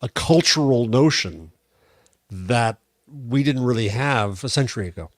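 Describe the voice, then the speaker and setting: A man's voice: medium pitch, expressive, measured pace, no echo. Older man co-hosting, wry, dry close sound. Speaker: podcast co-host. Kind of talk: casual opinionated discussion.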